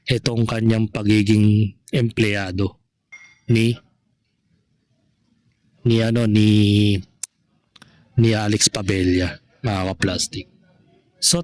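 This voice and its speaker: Slow-talking man giving casual commentary. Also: mid-range voice, a little mellow. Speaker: vlogger commenting on online gossip.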